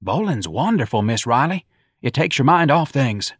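Audiobook role: mild, eager-to-please little cop, acted by a male narrator, folksy, raised pitch, lively intonation. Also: normal speed, slightly rough, no echo, clean studio sound. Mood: eager, friendly. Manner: folksy ungrammatical talk, mild. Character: meek, friendly little patrolman, eager to please.

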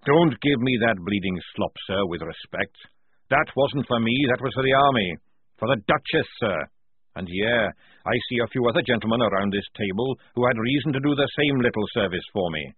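A man voicing a gruff, loud old army sergeant who barks rough, forceful speech. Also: medium pitch, lively intonation, a little fast, dry recording. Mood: roaring, defiant. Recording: dry, tinny phone-like sound.

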